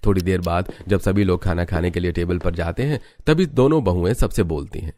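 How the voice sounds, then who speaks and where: A man with a very low voice narrates a family cartoon story. He delivers scripted storytelling lines as the narrator between the characters' scenes. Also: brisk, dark tone.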